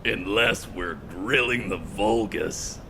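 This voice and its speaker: Casting: hearty game soldier, heavily gravelly, bright forceful edge, eager manner. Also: male voice, lowish voice, slow pace, slight background noise, heavily acted. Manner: hearty, enthusiastic. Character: boisterous, eager male soldier who loves food. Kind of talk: video game voice acting.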